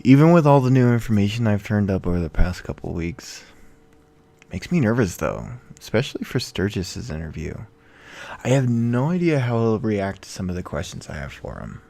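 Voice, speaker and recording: A man's voice: fairly low pitch, very animated, moderate pace, creaky, dark tone. A man playing a weary, self-doubting investigative podcaster in a naturalistic audio drama, talking in a hesitant, conversational way. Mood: anxious, doubtful. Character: male journalist podcaster, weary, anxious, self-doubting boyfriend.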